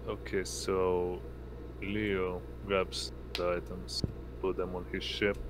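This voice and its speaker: A man acting as game master in an online tabletop role-playing game, narrating in a halting, hesitant way. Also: low voice, level tone, noisy background. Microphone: online voice call.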